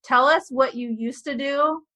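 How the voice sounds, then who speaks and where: A woman's voice: high voice, measured pace, bright, forceful tone. A woman hosting a podcast, talking casually and gushing with praise for her guest. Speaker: podcast host.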